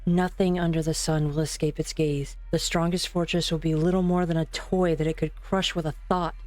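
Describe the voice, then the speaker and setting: A woman playing a grave, principled scientist with quiet anger in an amateur game fan dub. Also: low-pitched, little pitch movement, moderate pace, no room sound, faint music behind, natural voice. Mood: grave, warning.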